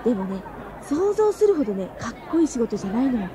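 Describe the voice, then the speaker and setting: An actress playing an eager, cheeky high-school girl, the narrator and heroine of a radio drama. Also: sing-song, fast talker, a crowd in the background. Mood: narrating brightly. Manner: youthful, eager, girlish.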